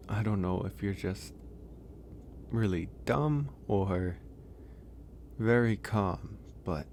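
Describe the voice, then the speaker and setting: Casting: male actor as caring, slightly shy werewolf for ASMR audio roleplay; very low, slow, soft delivery. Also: slight background noise, exaggerated voice. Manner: soft, hesitant, pausing. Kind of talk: ASMR roleplay, intimate one-sided dialogue.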